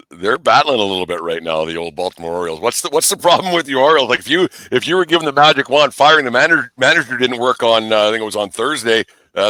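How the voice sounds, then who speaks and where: A man hosting a sports podcast in casual chat. His voice is bright and forceful, recorded dry and close, and he talks quickly. He teases and jokes, often rambling through his questions. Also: mid-range voice, no echo. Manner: joking, teasing, rambling.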